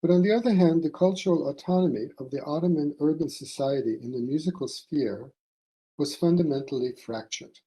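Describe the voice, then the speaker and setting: A man delivering a formal academic conference paper from a prepared text, in measured, deliberate phrases. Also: on the high side, warm tone, low-quality recording. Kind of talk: formal scholarly lecture read from a prepared text.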